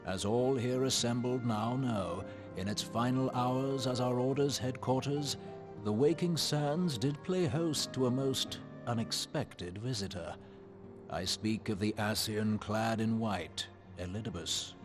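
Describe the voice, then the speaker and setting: A man's voice: medium pitch, measured pace, natural voice. An actor playing a solemn male scholar in a fantasy game, with grave, formal, archaic diction. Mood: grave, measured. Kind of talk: theatrical fantasy game dialogue. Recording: loud music under the voice.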